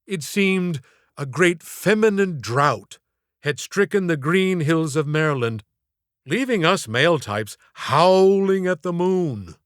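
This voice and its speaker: Theatrical male storyteller with a higher-pitched voice. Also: lively intonation, slow, clean tone, no echo, clean studio sound. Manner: theatrical, wry, mock-formal phrasing. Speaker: audiobook narrator and author. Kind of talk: comic scripted storytelling narration.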